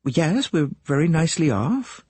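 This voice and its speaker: Male narrator doing a fretful middle-aged wife, raised voice, husky, lively swings, dry sound. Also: a little high, no room sound, pitched above the performer's own voice. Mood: indignant. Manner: fretful, gossipy, quick to take offence. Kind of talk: audiobook character voice.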